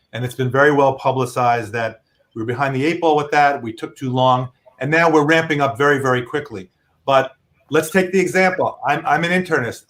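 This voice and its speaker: A man's voice: mid-range voice, fairly bright tone. A middle-aged man, a physician guest, explaining earnestly and patiently with examples on a video call.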